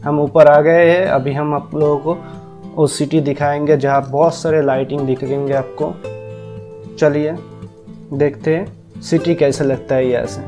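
A man giving casual narration for a travel vlog as its host. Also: fairly high pitch.

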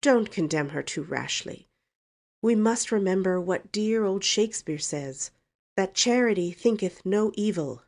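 Sanctimonious female cousin, voiced by a woman, gentle, pious, soothing, storybook character. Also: mid-range voice, moderate pace, few pauses, voice pushed up, natural voice.

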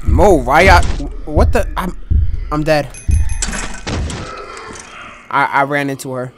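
A young man commentating a horror game in a loud, excitable, trash-talking way, his voice going hoarse, recorded on a headset mic at a home setup. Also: on the high side, slow pace, heavy background noise. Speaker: gaming streamer.

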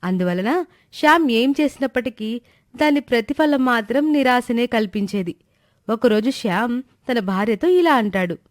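A woman's voice: on the high side, animated, moderate pace, dry recording, clean studio sound. A woman giving scripted narration of a moral story.

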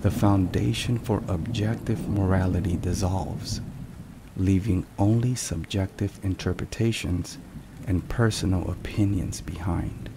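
A man with a low, creaky voice narrates a devotional in ASMR style. He speaks solemnly and very slowly, setting down each phrase with care. Rain and thunder run behind him throughout. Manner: solemn, measured, deliberate phrasing. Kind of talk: ASMR scripted narration, soft-spoken devotional talk. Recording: steady rain and thunderstorm noise.

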